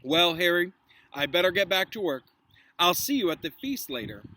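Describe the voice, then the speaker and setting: A man's voice: high voice, lively intonation, moderate pace, bright, forceful tone, slight background noise, pitched above the performer's own voice, low-quality recording. A man voicing a mild, courteous wizard professor in a storybook, politely and genially. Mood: friendly, brisk.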